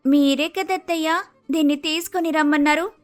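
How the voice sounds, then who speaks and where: A woman's voice: high voice, fairly even intonation, normal speed, faint music behind, natural voice. A woman voicing a polite, naive young daughter-in-law with an Andhra Telugu accent, exclaiming in a cartoon story. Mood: puzzled. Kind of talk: acted dialogue in animated moral story.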